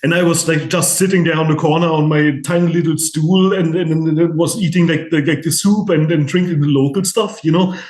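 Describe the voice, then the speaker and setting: A man's voice: a little high, steady tone, a little mellow. German man in a roomy-sounding conversation. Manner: halting, many restarts, swears freely. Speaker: podcast guest, musician. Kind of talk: casual conversation. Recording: roomy echo.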